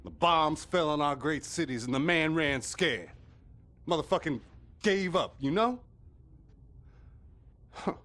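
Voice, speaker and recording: A man's voice: on the high side, expressive, slow pace, pauses often, fairly bright tone. An actor playing a bitter, swaggering rebel in a video game, slangy and mocking as he drawls. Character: bitter, swaggering male American anti-war rebel.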